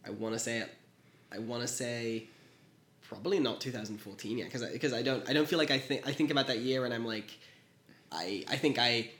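A man's voice: mid-range voice, brisk, a bit bright, echoey room. A man in his late twenties from Belgium, a podcast guest chatting casually and loosely, with lots of "like". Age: late 20s. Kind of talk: casual chat.

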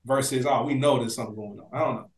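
A man co-hosting a casual TV recap podcast, talking in an animated, slangy way with plenty of swearing. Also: medium pitch, racing. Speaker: podcast co-host. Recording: roomy, echoey sound.

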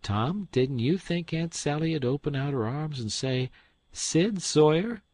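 A man voicing a cheeky, smooth-talking teenage boy who acts overly polite and innocent. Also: on the high side, lively intonation, unhurried, darkish tone, dry recording, pitched above the performer's own voice. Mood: sheepish.

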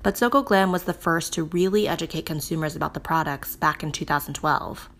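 A woman reading scripted documentary narration in a measured, polished way. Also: deep voice, a bit bright. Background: background music.

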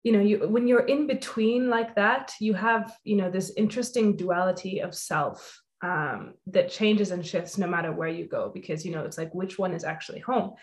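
A woman's voice: mid-range voice, moderate pace, hardly any pauses. A young woman hosting an interview, talking in a thoughtful, earnest way and filling her sentences with "you know" and "I think". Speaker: host. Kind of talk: reflective conversation.

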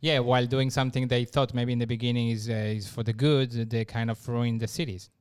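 A man co-hosting a casual talk, careful and diplomatic in how he puts things. His voice is smooth and clear, at a middle pitch. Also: level tone. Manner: measured, diplomatic. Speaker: co-host. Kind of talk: casual discussion.

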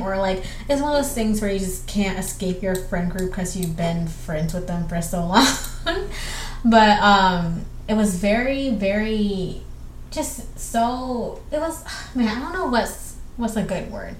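Chatty young Southern woman, rambling. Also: medium pitch. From southwestern Georgia, US. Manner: rambling, chatty, filler-heavy, quick bursts. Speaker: podcast host. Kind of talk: casual solo podcast answering listener questions. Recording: echoey room with faint background noise.

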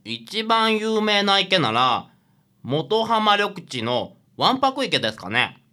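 Bright-voiced, hapless young trainee detective. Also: male voice, high voice, very animated, takes it slowly, exaggerated voice. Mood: doubtful. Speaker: actor in a radio drama. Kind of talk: radio drama acting.

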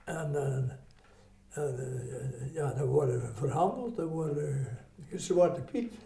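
An elderly man with a Brabant Dutch accent reminisces in a very soft, dark-toned voice, pitched fairly high for a man. He speaks haltingly and repeats himself. The room around him echoes. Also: creaky, slight background noise. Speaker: eyewitness recalling wartime childhood. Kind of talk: informal reminiscence.

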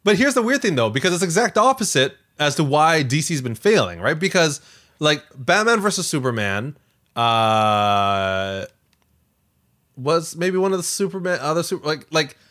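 A man co-hosting a podcast, chatting casually in an emphatic, animated way. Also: fairly high pitch, moderate pace. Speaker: podcast co-host.

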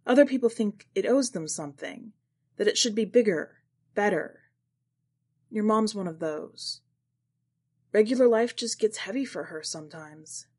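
Female narrator as resigned man in literary audio fiction, weary, measured, medium pitch. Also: expressive, a little mellow, husky. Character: weary, resigned man explaining things to a child.

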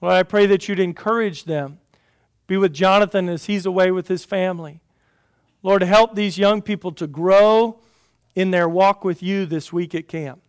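A pastor leads his congregation in prayer and announcements. His voice sits high for a man, and his manner is earnest. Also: moderate pace, smooth.

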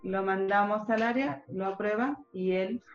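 Woman, sign interpreter, hesitant delivery, boomy dull room, faint music. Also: roomy sound. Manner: halting, many restarts and pauses. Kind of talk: interview answers voiced for a deaf guide.

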